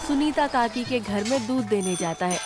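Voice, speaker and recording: Female narrator for a kids' moral tale, higher register, scripted storytelling, music bed. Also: lively intonation, normal speed. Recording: music behind the voice.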